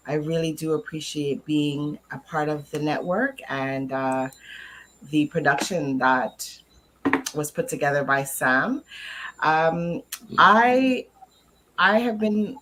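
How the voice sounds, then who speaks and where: A woman's voice: sits low, slow, fairly bright tone, a little hiss or hum, poor audio. A woman speaking informally as an interview guest, hesitant and appreciative as she talks about herself. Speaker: interview guest, podcaster and cast member. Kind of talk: informal interview self-introduction.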